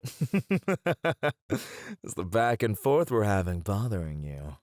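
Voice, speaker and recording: A man's voice: lowish voice, big pitch swings, slow pace, no room sound, clean studio sound. A man playing a cocky, shrewd gang leader in a flirty ASMR roleplay, teasing in a playful, theatrical way.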